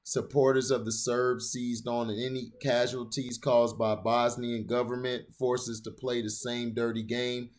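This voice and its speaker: A man of ordinary pitch reads a long written essay aloud for his channel. His delivery is steady and deliberate. He trips on a longer word now and then and goes back to say it again. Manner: steady, deliberate reading with occasional stumbles on long words. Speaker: channel host reading an opinion essay aloud.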